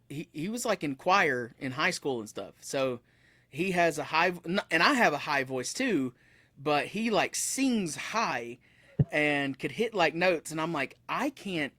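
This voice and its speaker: Man, 30s, musician guest; loose, self-correcting storyteller, slightly raised pitch. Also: a bit bright, no echo. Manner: rambling, self-correcting, laughs often. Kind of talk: casual interview chat. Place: room with metal posters behind him.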